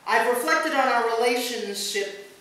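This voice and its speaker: A woman's voice: fairly high pitch, a little slow, sharp tone. A woman pastor reading a written memorial tribute aloud at a church service, recorded from a distance. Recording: very echoey church, distant mic, faint noise.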